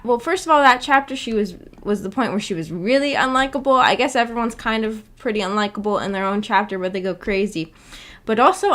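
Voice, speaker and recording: A woman's voice: on the high side, a bit bright. A woman streamer chatting casually with her viewers, hesitant and giggly as she searches for words. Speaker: streamer hosting a visual novel book club. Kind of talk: casual livestream chat, reacting to viewer comments.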